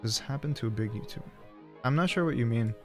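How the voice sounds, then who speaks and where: A man's voice: medium pitch, fast. A young man livestreaming, chatting casually with his viewers. He rambles, laughs often and swears freely. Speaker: streamer. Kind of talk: casual livestream chat, reading chat aloud. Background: faint background music.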